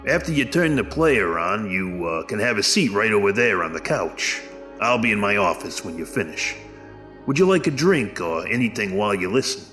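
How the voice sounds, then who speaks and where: An actor playing an obliging small-town lawyer, chatty and informal, in an audio drama. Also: male voice, fairly low pitch, sing-song, moderate pace, pushed and bright, soft background music. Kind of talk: scripted audio drama dialogue.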